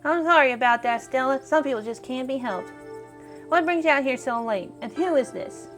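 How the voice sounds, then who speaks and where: A woman's voice: high-pitched, expressive, moderate pace, over music. A woman voicing a kindly, gossipy older spiritualist lady in a video game, with a genteel, folksy manner full of endearments. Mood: grave, apologetic.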